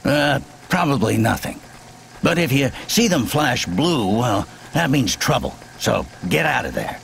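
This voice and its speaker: An actor playing an eccentric, self-important, muddled engineer in a video game, rambling in a gravelly voice. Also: male voice, mid-range voice, sing-song, a little slow, a bit bright, slight background noise, exaggerated voice. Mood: muddled, cautionary.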